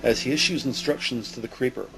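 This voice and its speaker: A man narrating a scripted audio drama in a measured, dramatic manner. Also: mid-range voice, over music, low-quality recording.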